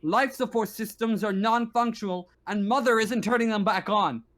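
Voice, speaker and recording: A man voicing a spaceship crew member sending a formal, measured but desperate distress call in a tabletop game. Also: sits high, fairly bright tone, voice pushed up. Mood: urgent, pleading. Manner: formal, measured radio-transmission delivery.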